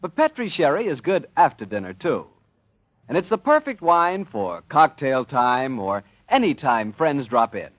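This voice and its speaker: Animated American vintage radio announcer man. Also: mid-range voice, measured pace, no echo. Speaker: announcer and ad spokesman. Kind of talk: 1940s radio announcing and sales pitch. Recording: dull, dry vintage radio recording.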